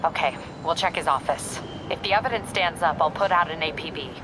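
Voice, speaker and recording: An actress playing a brisk, skeptical police captain in a video game, heard over a noisy phone call. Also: female voice, deep voice, lightly acted. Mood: brisk, businesslike.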